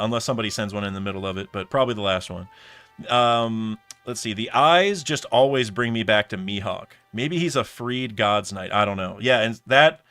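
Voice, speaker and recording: A young man with a lowish voice talks loosely to his stream chat, circling his ideas with many fillers and restarts. Faint music plays under his voice. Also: bright, forceful tone. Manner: rambling, thinks aloud with many restarts and fillers. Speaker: streamer reacting to a comic chapter. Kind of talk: casual live stream chat.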